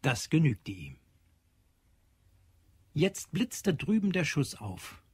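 Husky, animated male narrator. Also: on the high side, no echo. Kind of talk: scripted literary narration in an audio drama.

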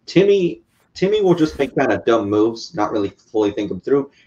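A man's voice: medium pitch, sing-song, normal speed, dark tone. A man in his twenties chatting casually as a podcast guest, explaining things in everyday language. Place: living room couch, indoors. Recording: slightly muffled video-call audio.

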